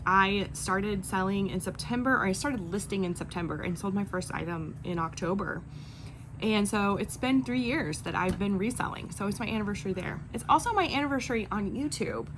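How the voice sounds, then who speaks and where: Woman, mid-pitched voice, casual chatty vlog style, rambling, self-deprecating, clear background noise. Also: animated, fairly quick. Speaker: video creator, online clothing reseller.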